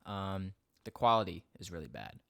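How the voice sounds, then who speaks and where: A young man chatting casually as a podcast co-host, rambling quickly and laughing often. His voice sits a little low, recorded dry and close on a studio mic. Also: expressive, creaky, bright, forceful tone, no room sound. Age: young adult. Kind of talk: casual chat.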